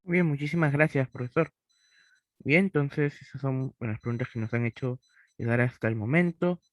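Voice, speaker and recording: A man's voice: medium pitch, moderate pace, dry recording. Male moderator, formal and polite, dry close sound. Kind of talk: moderating a webinar Q&A.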